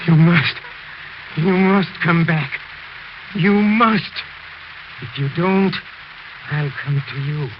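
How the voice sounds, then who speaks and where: A haunted widower, half mad with grief and clinging to his obsession. His delivery is intense and insistent, in slow, deliberate 1940s radio horror fashion. The old recording is muffled and clearly noisy. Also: fairly high pitch. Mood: desperate grief. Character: grief-crazed, obsessive widower awaiting his dead wife.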